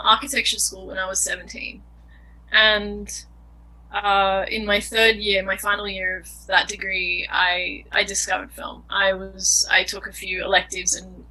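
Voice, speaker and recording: A woman's voice: medium pitch, steady tone, measured pace, pushed and bright, poor audio. A young woman filmmaker answering interview questions in an earnest, thoughtful way, often searching for words. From Australia.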